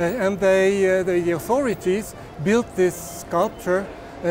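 A man lecturing in an outdoor city square, pausing often with fillers. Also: high-pitched.

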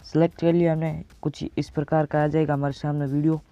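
A man's voice: on the high side, level tone, fast talker, mellow, no echo, dull audio. A teenage male from India giving a casual step-by-step tutorial, addressing viewers as friends and often checking they follow. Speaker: tutorial presenter.

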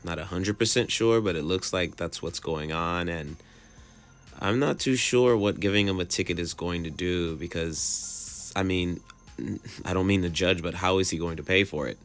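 A man's voice: deep voice, normal speed, fairly bright tone. A man in his thirties giving a casual, musing vlog commentary, often repeating phrases and asking rhetorical questions. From moved to California in 2005. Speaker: vlogger. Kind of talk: casual observational vlog narration. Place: outdoors on city streets and in a car, phone vlog. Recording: background music under street narration.

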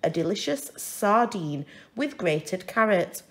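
A woman's voice: medium pitch, big pitch swings, slow. A woman voicing a stern, bossy mother elephant in a children's storybook, with an emphatic, firm manner. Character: bossy, firm mother elephant, fussy about healthy living.

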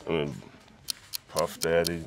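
A young man with a low voice, joking and self-mocking as a music game show contestant in a studio. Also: flat delivery, slow, heavy background noise. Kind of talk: casual game show banter. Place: studio set. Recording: music playing behind.

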